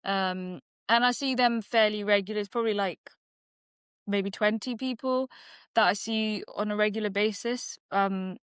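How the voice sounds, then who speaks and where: A woman talks through her answers in a podcast interview, pausing often and restarting her thoughts. Her voice is smooth and clear, and it is recorded close and dry. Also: mid-range voice, steady tone, fairly bright tone, dry recording. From United Kingdom. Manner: reflective, many fillers and restarts. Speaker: guest, dentist and singer-songwriter. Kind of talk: conversational interview.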